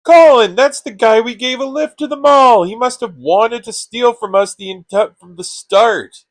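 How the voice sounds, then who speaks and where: A man's voice: high voice, measured pace, no echo. An actor playing a sensible, exasperated young man who complains at his friend in a comedy radio play. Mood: alarmed, angry. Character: exasperated, sensible young male best friend named Stu.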